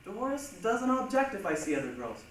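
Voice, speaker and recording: A woman's voice: mid-range voice, very animated, brisk, natural voice. An eager, polite male contestant, played by a woman, earnest and persistent in simple replies. The theatrical voice comes from a distance in a very echoey hall. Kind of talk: stage readers' theatre comic acting. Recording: echoey hall, distant mic, faint noise.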